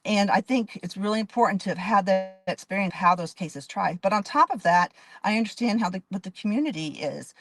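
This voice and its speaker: A woman's voice: medium pitch, lively intonation, fairly quick, hardly any pauses. A woman in her fifties from Dallas, a judge running for office, giving earnest, detailed interview answers with frequent restarts. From Dallas, Texas. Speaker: judge and candidate.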